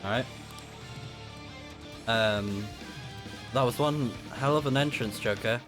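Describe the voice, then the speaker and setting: A man's voice: mid-range voice, takes it slowly. A young man with a slightly scratchy voice giving enthusiastic, casual live commentary as he plays a video game. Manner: enthusiastic, thinking aloud. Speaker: game streamer. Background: game music.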